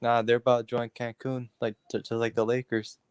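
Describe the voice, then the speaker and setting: Clear-voiced man calling into sports chat. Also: medium pitch, little pitch movement, a little fast. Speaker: guest caller on sports stream. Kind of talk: casual sports debate.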